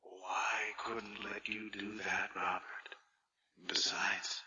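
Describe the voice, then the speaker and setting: Vengeful ghost brother, possessive, whispering, lowish voice, radio horror, distant and echoey. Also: male voice, level tone, pushed and bright, full character voice. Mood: gloating, commanding. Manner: sinister, breathy whispering and slow taunting. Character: vengeful spirit of a dead twin brother, taunting, possessive. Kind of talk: radio horror drama acting. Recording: dull, echoey, faintly noisy old radio broadcast.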